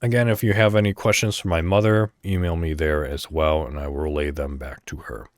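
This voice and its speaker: A man hosting a podcast solo, chatting casually and thinking out loud in a rambling way. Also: extremely low pitch, steady tone, fairly quick, dry recording, studio quality. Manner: rambling, thinking aloud, mild swearing. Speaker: podcast host.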